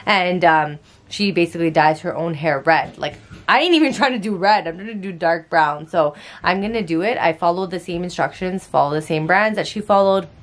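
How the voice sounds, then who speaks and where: A woman's voice: lowish voice, fairly quick. A young woman vlogging from her small bedroom, talking to a handheld camera in a rambling, chatty way and swearing freely. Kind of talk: casual chat to camera. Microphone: handheld camera at arm's length.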